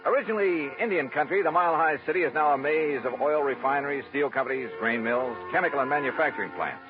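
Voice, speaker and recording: An actor playing a wry, brisk, hardboiled insurance investigator in a golden-age radio drama. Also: male voice, on the high side, close to an everyday voice. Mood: wry, brisk narrating. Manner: brisk, wry, clipped, world-weary. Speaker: lead actor and first-person narrator. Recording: dull vintage radio recording, music behind.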